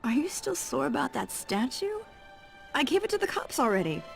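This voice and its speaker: Flirty, lively female cat burglar. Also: sits high, moderate pace, gravelly, soft background music, heavily acted. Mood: teasing, flirty. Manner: coy, playful taunting. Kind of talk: video game cutscene acting.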